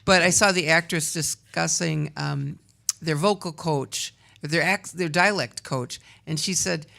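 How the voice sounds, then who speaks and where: Woman, from Wisconsin, theatre rep, gravelly, talkative, laughs often. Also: low-pitched, a little slow, a bit bright. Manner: talkative, laughs often, trails off mid-sentence. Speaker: community theatre representative. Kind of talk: casual radio interview.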